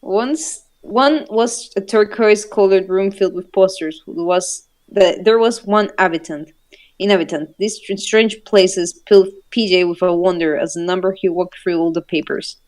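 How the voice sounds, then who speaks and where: A woman's voice: mid-range voice, moderate pace, a little mellow. A giggly woman reading fan fiction aloud with casual joking commentary, stumbling over words and swearing freely. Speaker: co-host reading fanfiction.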